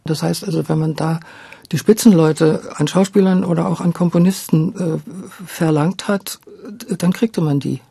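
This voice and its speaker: An older woman, a former radio drama director, talking reflectively and a little haltingly in an interview, repeating words for emphasis. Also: sits low, fairly even intonation, creaky, warm tone, slightly rough, dry recording.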